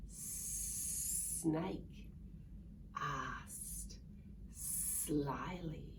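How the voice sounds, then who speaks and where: A woman reading a picture book aloud to young children in a playful, expressive way, drawing out words and acting out sounds. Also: low-pitched, extremely slow. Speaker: children's story reader. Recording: distant mic with faint background noise.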